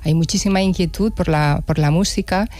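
Woman musician, interview guest, thoughtful and unhurried, dry close sound. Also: on the low side, darkish tone, dry recording. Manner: thoughtful, reflective, with hesitations. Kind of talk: conversational interview.

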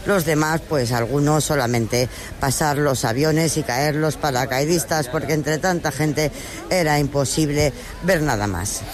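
A woman from reporting live from a street parade, lively and bright. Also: low voice, measured pace, crowd noise. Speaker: field reporter.

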